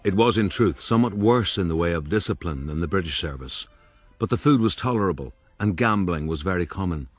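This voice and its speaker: A man's voice: low-pitched, lively intonation, a little fast, soft background music. An actor playing a rueful, boastful soldier-rogue, narrating his own life in a radio drama.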